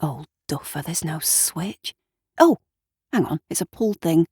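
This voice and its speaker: A woman's voice: low voice, lively intonation, measured pace, mellow, breathy edge, dry recording, heavily acted. A woman voicing a flustered, easily startled man in a storybook, muttering and exclaiming to himself. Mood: muttering, puzzled. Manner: muttering, exclaiming, talking to himself and his dog.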